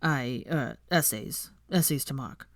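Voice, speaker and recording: A woman's voice: a bass voice, unhurried, no room sound, clean studio sound, voice pushed down. A woman voicing a nervous, flustered young male wizard professor with a stammering manner. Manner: stammering, startled.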